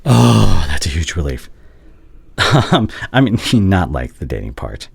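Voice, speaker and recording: A man playing a devoted, regretful doctor and anxious ex-boyfriend in an intimate ASMR audio roleplay, speaking haltingly and tenderly. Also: sits low, big pitch swings, creaky, a little mellow, breathy edge, dry recording, heavily acted. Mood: relieved, flustered.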